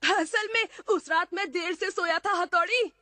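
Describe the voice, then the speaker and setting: Piercing, cocky cartoon schoolboy. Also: female voice, very high voice, unhurried, sharp tone, dry recording, full character voice. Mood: pleading. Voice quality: piercing childlike voice. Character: lazy, cocky schoolboy, about ten.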